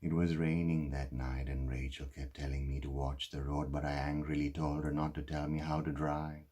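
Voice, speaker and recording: A man performing a dramatic monologue as a guilt-ridden husband, speaking in a halting, confessional way with heavy pauses. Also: very deep voice, level tone, mellow. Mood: anguished. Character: guilt-ridden husband who caused a fatal drunk-driving crash.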